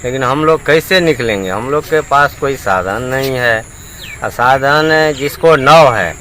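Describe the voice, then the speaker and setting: A man's voice: mid-range voice, measured pace, bright, forceful tone. Male villager in noisy field recording. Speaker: local flood-affected villager. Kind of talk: unscripted news soundbite.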